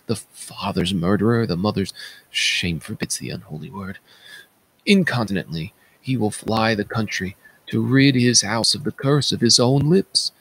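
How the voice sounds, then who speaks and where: A man voicing a solemn palace attendant who recounts horrors in a declamatory stage-tragedy reading, with dramatic pauses. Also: fairly low pitch, fairly bright tone, slightly rough, full character voice.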